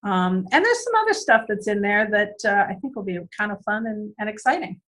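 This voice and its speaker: A woman guest who is losing her voice, answering interview questions earnestly with frequent "um" pauses. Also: mid-range voice, sing-song, fairly bright tone. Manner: earnest, many "um" fillers and repeated words. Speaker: guest speaker on business systems.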